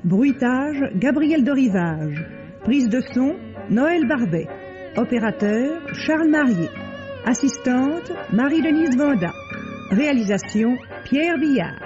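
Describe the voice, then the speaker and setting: A man announcing the credits of a radio drama in a measured, formal way. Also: very high voice, lively intonation. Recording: dull old radio archive with music.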